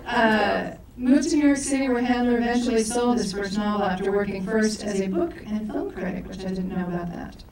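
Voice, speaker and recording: Woman emcee, mid-pitched, distant in a very echoey hall, reads bios and trips over words. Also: fairly even intonation, fairly quick, hardly any pauses, a little mellow, a little hiss or hum. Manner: reads bios with stumbles and self-corrections. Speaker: festival co-director introducing speakers. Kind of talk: live stage introduction. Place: large echoey event hall.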